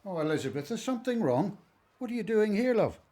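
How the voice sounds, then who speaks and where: A gentle elderly priest in a radio drama, earnest and fretful. His voice sits fairly high and is husky, with animated swings of pitch. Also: fast talker. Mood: concerned. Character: anxious, devoted elderly temple priest, gentle husband. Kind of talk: radio play acting.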